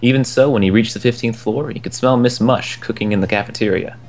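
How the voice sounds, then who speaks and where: A young man reading a children's book aloud in a relaxed way, chuckling now and then at the text. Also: mid-range voice, fast. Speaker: storyteller. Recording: soft game music behind the voice.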